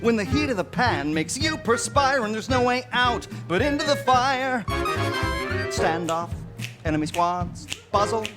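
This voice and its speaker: A woman's voice: fairly high pitch, lively intonation, a little slow. A woman playing an aging, swaggering male starship admiral who doubts himself, in a staged musical parody reading. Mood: wistful swagger. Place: live stage. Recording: live stage, music behind voice.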